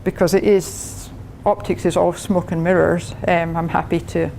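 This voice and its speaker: A woman's voice: fairly low pitch, flat delivery, normal speed, vocal fry, mellow, a little hiss or hum, low-quality recording. Chatty Scottish woman giving a talk. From Scotland. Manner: chatty, laughs often, many restarts. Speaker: guest speaker, professor. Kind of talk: technical talk to a radio club.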